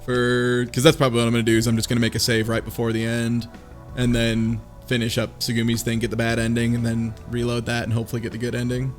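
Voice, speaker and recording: A man rattles through his points quickly in a group chat. He is eager and blunt, with casual swearing. Faint music plays beneath. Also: mid-range voice, completely flat delivery. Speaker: book club member.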